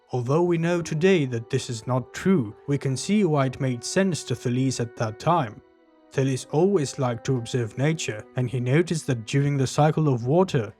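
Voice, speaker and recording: A man giving scripted, measured narration for a history documentary. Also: mid-range voice, darkish tone. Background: faint background music.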